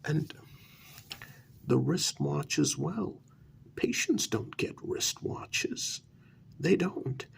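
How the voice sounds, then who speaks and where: A man playing an eerily calm asylum patient in a soft ASMR role-play, breathy and gravelly, with a measured, hesitant, quietly condescending delivery. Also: mid-range voice, a little hiss or hum, full character voice. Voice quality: gravelly, breathy, half-whispered. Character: eerily calm male asylum patient who believes he creates reality.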